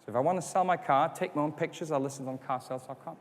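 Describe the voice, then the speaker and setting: A man's voice: fairly high pitch, very fast. A man whose first language is not English, giving a conference keynote, explaining step by step and asking rhetorical questions. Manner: explanatory, rhetorical questions, acts out customer quotes. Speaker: conference speaker. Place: conference hall. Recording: hall reverb.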